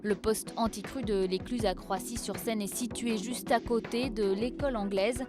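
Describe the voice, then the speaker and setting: Female narrator, higher voice, measured factual read of an informational script, clear music bed. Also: steady tone.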